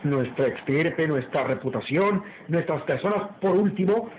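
An actor playing a stern, proud master of the house in an old radio drama, speaking formally and emphatically. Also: male voice, on the high side, animated, a little slow, a little mellow. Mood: stern, lofty. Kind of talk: old Latin American radio drama acting. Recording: muffled old radio serial, background noise.

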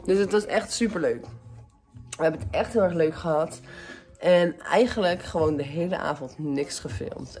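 A woman straight into a phone held at arm's length, chatty and quick to laugh. Her voice sits a little low and sounds warm and mellow. Faint music plays behind her. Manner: chatty, laughs often, drops English phrases. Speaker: vlogger. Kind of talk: casual vlog chat. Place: indoors, then in a parked and driving car.

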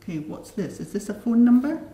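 A woman's voice: fairly high pitch, sing-song, a little fast, warm tone, echoey room. A middle-aged woman interviewing an adult language learner, asking short simple questions with patient, encouraging repetition. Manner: patient, encouraging, repeats and reassures. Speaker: adult education assessor. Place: small studio set, indoors.

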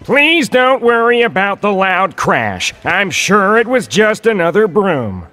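A man's voice: sits high, big pitch swings, takes it slowly, pushed and bright, full character voice. A man voicing a fussy, reassuring monster school principal with a formal announcing manner in a children's cartoon. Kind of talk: animated children's story dialogue.

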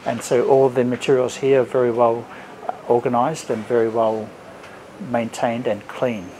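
A man's voice: medium pitch, little pitch movement, normal speed. A middle-aged man hosting a tour in a casual, agreeable and approving way, chatting on the spot. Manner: agreeable, approving short responses. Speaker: host of factory tour. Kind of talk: casual on-site tour chat. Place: indoors in electronics factory rooms and assembly hall. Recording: noisy factory ambience, handheld camera sound.

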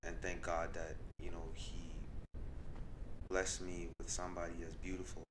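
A young man from Tanzania with a very deep, low voice talks things over in a podcast studio, close on a boom mic. He speaks softly and reflectively, circling back with fillers and restarts. A faint hiss sits under the recording. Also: monotone, moderate pace. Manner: reflective, soft-spoken, with many fillers and restarts. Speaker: guest artist. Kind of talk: casual interview conversation. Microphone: desk mic on a boom arm.

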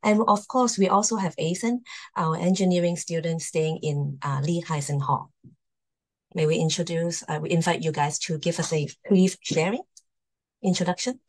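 Low-voiced woman hosting a formal presentation. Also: steady tone, normal speed. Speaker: host, university admissions presenter. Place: online video call.

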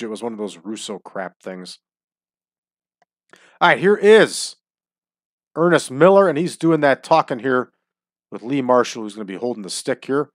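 A man's voice: mid-range voice, no room sound, studio quality. A man commenting casually on a wrestling management game at home, chatty and opinionated, his nose stuffed up from allergies. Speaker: let's-play host.

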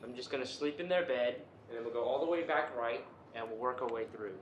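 A man's voice: mid-range voice, brisk, roomy sound, dull audio, a little hiss or hum. A young man talking casually and bluntly to a friend while they play a video game, swearing playfully. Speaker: gamer in co-op let's play. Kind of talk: casual gaming chat with a friend.

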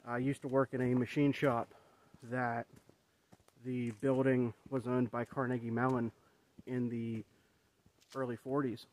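A man's voice: medium pitch, little pitch movement, measured pace, a little mellow, low-quality recording. A man in his thirties talks into a handheld camera as he walks along an overgrown forest road. His talk rambles and turns reflective, full of "you know" and restarts. The sound is open and outdoors. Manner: rambling, reflective, many fillers. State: walking while talking. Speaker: vlogger. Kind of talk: casual walking vlog. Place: outdoors on a wooded trail. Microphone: phone or camera held at arm's length.

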